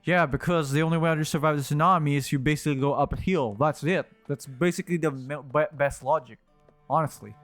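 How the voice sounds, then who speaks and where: A man with a fairly high voice comments casually on a game he is playing. He rambles and circles back over his points, thinking aloud. Music plays behind him. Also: fairly quick, fairly bright tone, dry recording. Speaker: game streamer.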